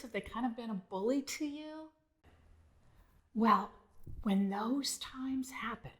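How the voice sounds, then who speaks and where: A woman telling a story to young children as its author, expressive and encouraging, often asking questions. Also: sits high.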